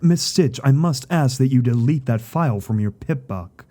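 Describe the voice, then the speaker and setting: A stern pony therapist played by a man with a soft, dark timbre at a middling pitch. He is formal and cautious, admonishing in measured phrases, as in an audio drama. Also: expressive, lightly acted. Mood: stern concern.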